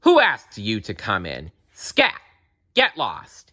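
A man's voice: a little high, sing-song, moderate pace, bright, forceful tone, pitched above the performer's own voice. Man playing a sneering, icy mad scientist villain. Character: nasty cold male mad scientist, storybook villain.

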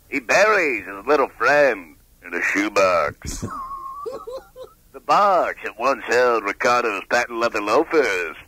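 A man's voice: mid-range voice, expressive, takes it slowly, long pauses, background noise. A man doing comic promo reads as a radio announcer.

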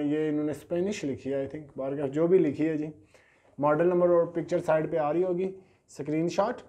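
A man's voice: fairly high pitch, dark tone. A man in his thirties from Pakistan, casually showing gadgets for sale at a table in a small indoor studio, talking in a chatty, hesitant way on a lapel mic. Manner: chatty, hesitant, repeats fillers and sales catchphrases. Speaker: online gadget seller. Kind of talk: casual product unboxing.

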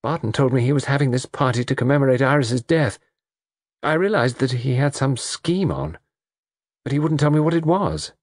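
A man doing a nervy young woman, pitched well above his own voice and rushing in emotional bursts. Her lines swing widely in pitch, husky and close. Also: animated, no room sound, natural voice. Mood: frightened. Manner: breathless, emotional and urgent. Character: frightened, impulsive young English woman. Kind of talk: audiobook character reading.

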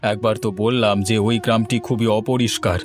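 A man acts out a cartoon king, a father who is anxious yet commanding. His delivery is dramatic and emphatic, in a fairly low voice. Faint music plays underneath. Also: level tone, a little fast, lightly acted. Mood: stern. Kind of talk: Bengali fairy-tale cartoon dub acting.

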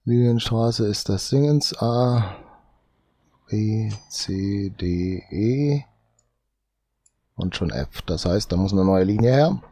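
A man with a cold, thinking aloud slowly as he comments on his own gameplay, often tagging lines with "ne?". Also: lowish voice, mellow, husky, no echo. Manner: muttering, drawn-out, tags lines with "ne?". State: has a cold. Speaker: let's play host.

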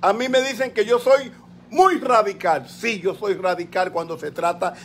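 Fiery Dominican man, high voice. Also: moderate pace, a little hiss or hum. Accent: Dominican Spanish. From Dominican Republic. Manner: fiery, emphatic, repeats words for force. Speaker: political commentator. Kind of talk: impassioned political rant on a live call. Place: small indoor room at home. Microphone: phone at arm's length.

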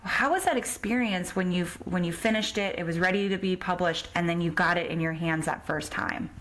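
A woman with a slightly low voice interviews a guest as a podcast host. Her phrasing is casual and chatty. There is faint background noise with some clicking on the recording. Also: brisk, a bit bright, low-quality recording.

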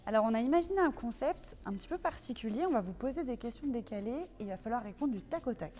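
A woman interviewer reading prepared questions in a brisk, clear manner. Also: on the high side, expressive, a little mellow, faint music behind. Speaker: interviewer for the town's video series. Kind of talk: scripted interview questions and voice-over.

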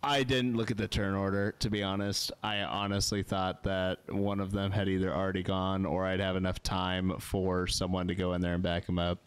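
Low-voiced man chatting over a game. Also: flat delivery, moderate pace, few pauses, smooth. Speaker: game streamer. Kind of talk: casual co-op gameplay chat. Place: small home streaming room. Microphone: close microphone on boom arm, headset.

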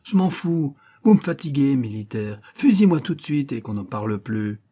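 A weary old woman prisoner snaps back in exasperated defiance, as a man reading an audiobook puts her on. Her raised voice stays husky and dark. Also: mid-range voice, sing-song, moderate pace, voice pushed up. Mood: defiant.

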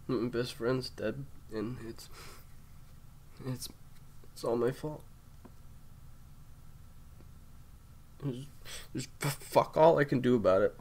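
A man playing a grieving, guilt-ridden secret agent in an audio roleplay, choked up and halting, sometimes dropping toward a whisper. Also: medium pitch, gravelly, a little mellow, slight background noise. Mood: anguished, self-blaming. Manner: halting, faltering, breaking into whispers. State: upset, choked up with grief. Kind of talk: intimate audio roleplay acting.

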